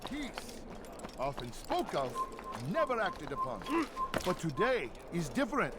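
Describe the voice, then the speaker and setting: A man's voice: sits high, wildly swooping pitch, measured pace, heavy background noise, full character voice. A man playing a zealous orator in a video game, formal and declamatory. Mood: fervent. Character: zealous male orator and scheming plotter. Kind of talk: video game character acting. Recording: loud music under the voice.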